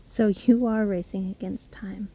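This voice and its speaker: A woman playing a sly, teasing witch storyteller in a fantasy audio roleplay, speaking in a soft, breathy, theatrical and condescending way. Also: mid-range voice, steady tone, unhurried, close to an everyday voice, low-quality recording. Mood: taunting, amused. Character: sly, gloating witch storyteller toying with her trapped victim.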